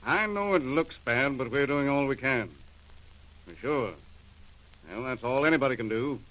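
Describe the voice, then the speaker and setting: Man playing gruff american customs chief, old radio crime show, bright forceful voice, clipped and slangy. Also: mid-range voice, unhurried, close to an everyday voice, rough recording. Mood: brisk, harried. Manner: clipped, slangy, businesslike delivery. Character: brisk, seasoned customs chief, gruff and dogged. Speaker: actor in a radio drama. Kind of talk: 1940s radio crime drama acting. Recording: old 1940s radio recording.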